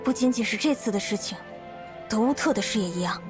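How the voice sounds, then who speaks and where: A woman playing a gentle, concerned young female traveler in a video game, soft and reassuring. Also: medium pitch, expressive, fast talker, natural voice. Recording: loud music under the voice.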